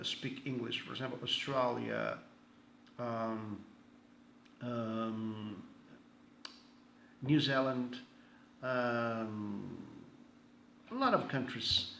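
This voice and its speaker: Slow halting Brazilian man, echoey room. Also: medium pitch, vocal fry, a bit bright. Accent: Brazilian Portuguese. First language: Portuguese. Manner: halting, searching for words, frequent restarts. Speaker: group member introducing himself. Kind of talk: casual self-introduction. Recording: echoey room, faint ambient noise.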